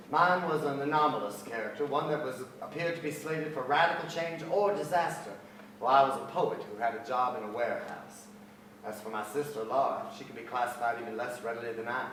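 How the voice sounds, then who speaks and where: A middle-aged actor playing a reflective poet son who narrates family memories on stage, measured and wry. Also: male voice, mid-range voice, a bit bright, close to an everyday voice. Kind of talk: literary narration in staged reading. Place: large theatre stage, music stand, indoors. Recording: very echoey theatre, distant, noisy ambience.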